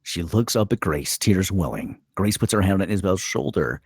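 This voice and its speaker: A man's voice: low-pitched, expressive, normal speed, breathy edge, no room sound, clean studio sound. A man reading scripted stage directions as the narrator of a screenplay table read.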